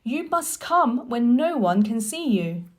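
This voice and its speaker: A woman's voice: a little high, very animated, slow, exaggerated voice. A woman reading a children's storybook, voicing a blunt but loyal, tender-hearted old gardener with emphatic delivery. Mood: fond, loyal.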